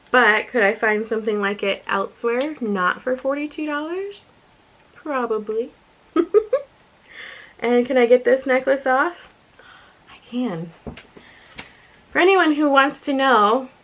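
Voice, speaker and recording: A woman with a slightly raspy voice, chatting casually and thinking aloud as she presents an unboxing video with giggly asides. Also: fairly high pitch, fairly bright tone, poor audio. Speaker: unboxing and review vlogger.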